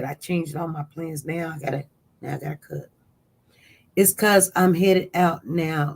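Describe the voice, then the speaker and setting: A chatty live-stream host talks casually through the news and reads viewer comments aloud. The voice keeps leaping up high and loud when reacting, then dropping back to a low mutter. The talk rambles, with many restarts. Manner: animated, voice jumps up loud when reacting to chat. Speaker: livestream news host reading viewer chat.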